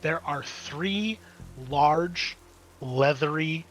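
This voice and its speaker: A man's voice: a little high, drawn-out words, fairly bright tone, faint music behind, rough recording. A man narrating as game master of a tabletop horror game, in a casual, sweary, descriptive way.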